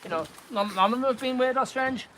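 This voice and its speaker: A man with a Yorkshire accent chatting casually as he works as a builder, pausing hesitantly when he explains things. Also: extremely high pitch. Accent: Yorkshire English. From Leeds, England. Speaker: builder on a live stream. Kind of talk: casual working chat. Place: garden room construction site. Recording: very noisy live building site.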